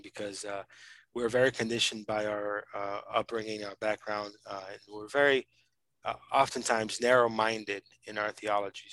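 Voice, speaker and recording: A man's voice: lowish voice, a bit bright, no room sound, low-quality recording. Earnest Brazilian-born man, dry sound. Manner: earnest, fast, many fillers and restarts. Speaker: podcast host, PhD student. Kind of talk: casual conversational interview.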